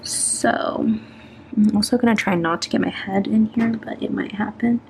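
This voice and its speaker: A woman's voice: medium pitch, steady tone, moderate pace, creaky, a little mellow, slight background noise. A young woman in her twenties chatting while she decorates a planner, rambling and self-conscious, with lots of ums and restarts. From Atlanta, Georgia, US. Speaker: planner vlogger.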